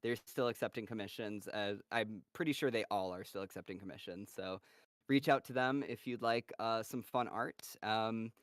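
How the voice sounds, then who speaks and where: A man with a low, smooth voice hosts a live online Q&A in a loose, chatty way, laughing often. The sound is dry and close. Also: moderate pace, no room sound. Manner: laughs often, friendly wrap-up plugs. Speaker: host of a live table read and Q&A. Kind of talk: casual live-stream chat.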